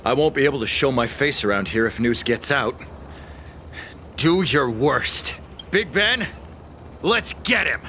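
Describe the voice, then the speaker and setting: A man's voice: mid-range voice, expressive, a little slow, long pauses, sharp tone, slight background noise. A man voicing a shifty, nervous petty thief in a video game, stammering and defensive. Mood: cornered, defiant.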